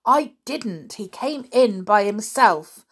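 A woman voicing a bold young boy who calls out loudly in a children's story reading. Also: mid-range voice, lively intonation, takes it slowly, breathy edge, pitched above the performer's own voice, exaggerated voice. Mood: urgent shouting. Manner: calling out loudly, eager. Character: brave boy, club leader, shouting.

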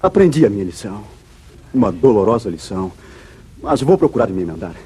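Casting: wronged wayward son, Brazilian accent, very raspy, animated and earnest, 1950s radio melodrama. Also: male voice, fairly low pitch, brisk, exaggerated voice. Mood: repentant, grateful. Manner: emotional, dramatic, earnest. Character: anguished, falsely accused young wayward son. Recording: muffled vintage radio drama recording.

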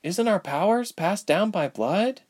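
A man voicing a frightened but defiant young woman with magic powers, in a high, strained voice. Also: expressive, measured pace, a little mellow. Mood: urgent.

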